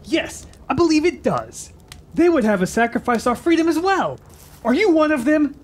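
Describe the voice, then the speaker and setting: An actor playing a defiant, hot-headed lad in a fantasy radio drama, husky and challenging in delivery. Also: high voice, sing-song, moderate pace. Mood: defiant, accusing. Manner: challenging, impetuous, declamatory.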